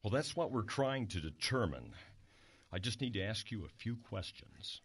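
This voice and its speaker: Low-voiced, measured police detective. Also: male voice, very animated, a bit bright, slightly rough, exaggerated voice. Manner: formal, procedural, pointed. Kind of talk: modern audio drama acting.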